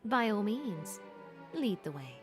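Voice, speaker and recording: A woman's voice: mid-range voice, very animated, slow. A woman playing a proper, dutiful young mage in a visual novel game, polite and self-assured, with music behind her. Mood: playfully indignant.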